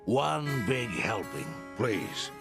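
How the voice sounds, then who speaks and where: A man playing a serene, fatherly religious leader in a video game cutscene, speaking gently and formally. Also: mid-range voice, very animated, very slow, vocal fry, music in the background, full character voice. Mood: calm, kindly. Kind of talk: dramatic game cutscene acting.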